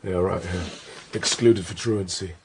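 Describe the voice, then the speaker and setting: Low-voiced, hot-headed English detective. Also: male voice, steady tone, a little slow. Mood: irritated, scornful. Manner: blunt, impatient, colloquial. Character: hot-headed, sneering British male police detective constable. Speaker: actor in a police radio drama. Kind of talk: naturalistic radio drama acting.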